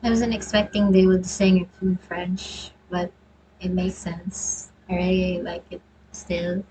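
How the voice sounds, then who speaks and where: Slow, even-toned woman reacting casually. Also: fairly low pitch, background noise. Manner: halting, trailing off mid-thought. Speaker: gaming streamer reacting to a story quest. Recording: game music faintly behind.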